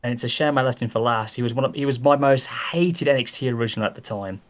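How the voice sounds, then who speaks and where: A man's voice: medium pitch, quick pace. A man with a Birmingham accent chatting casually on a call, laid-back and rambling as he explains himself. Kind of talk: casual group chat.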